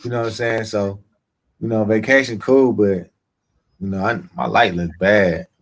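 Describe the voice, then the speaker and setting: A man's voice: on the low side, fairly even intonation, normal speed. A middle-aged man co-hosting a casual podcast chat, laid-back and drawling, often repeating himself. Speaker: podcast co-host.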